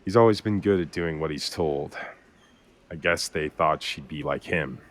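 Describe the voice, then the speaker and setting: An actor playing a weary, grieving brother who speaks haltingly and sincerely in naturalistic audio drama dialogue. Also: male voice, extremely low pitch. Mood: bitter, reflective. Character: weary, consoling man grieving a lost sister.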